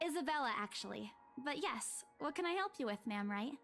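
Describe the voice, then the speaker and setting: A man's voice: very high-pitched, expressive, fairly quick, sharp tone, soft background music, pitched above the performer's own voice, heavily acted. A man doing a flustered young saleswoman. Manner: stammering, polite, flustered. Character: young female estate agent, nervous but polite. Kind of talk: voicing a visual novel.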